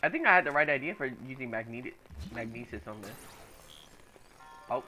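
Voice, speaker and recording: A young man casually chatting while streaming a game from home, reacting out loud to the game and to chat. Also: medium pitch, lively intonation, a little fast, over music, rough recording. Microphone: laptop microphone.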